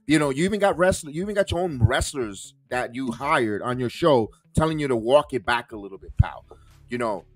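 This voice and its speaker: A man's voice: mid-range voice, expressive, a little fast, no echo. A man hosting a wrestling podcast, ranting heatedly with many stumbling restarts and curses. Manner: fiery, stumbling repeats, swears. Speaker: podcast host.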